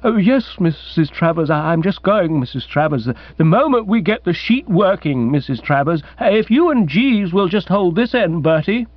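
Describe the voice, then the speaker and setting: A man doing a meek, flustered, high-pitched young man. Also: expressive, moderate pace, few pauses, no room sound, dull audio. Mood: apologetic, nervous. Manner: stammering, conciliatory.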